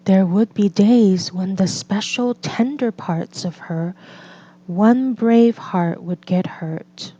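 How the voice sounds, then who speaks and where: A woman reading a picture book aloud as a storyteller, in gentle, deliberate phrases with drawn-out pauses. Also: medium pitch. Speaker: read-aloud storyteller for children and English learners. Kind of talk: slow scripted picture-book narration. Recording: slightly dull, lo-fi recording.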